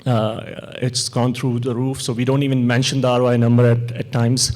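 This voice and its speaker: A man from Pakistan with a Pakistani accent, speaking as a panelist at a marketing conference, relaxed and easygoing with frequent fillers. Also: medium pitch, normal speed. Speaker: panelist, airline email marketing manager.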